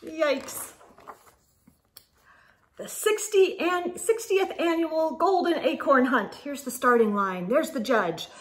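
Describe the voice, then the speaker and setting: A middle-aged woman reading a picture book aloud to young children, expressively, with dramatic pauses and exclaimed asides. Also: high voice, a little hiss or hum. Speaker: children's storytime host. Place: small indoor room with bookshelves.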